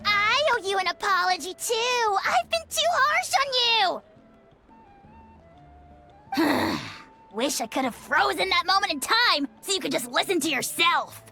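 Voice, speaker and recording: A woman's voice: very high-pitched, animated, measured pace, bright, forceful tone, soft background music, heavily acted. A woman voicing a small, girlish video game character, blunt but caring. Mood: apologetic, exasperated. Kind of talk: video game dialogue acting.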